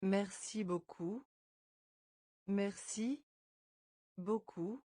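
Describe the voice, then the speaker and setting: Woman language teacher, very slow and deliberate, breaks phrases into words then says them whole; dry recording. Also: mid-range voice, dark tone, no echo. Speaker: French teacher. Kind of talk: slow phrase-by-phrase pronunciation drill.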